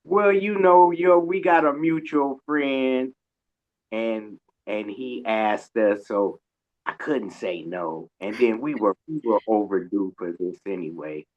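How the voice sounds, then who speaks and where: A man's voice: on the low side, slow pace. A man hosting a casual interview in an informal, colloquial, joking way. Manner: informal, colloquial, self-described loud joker. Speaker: radio show host. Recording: dull lo-fi audio.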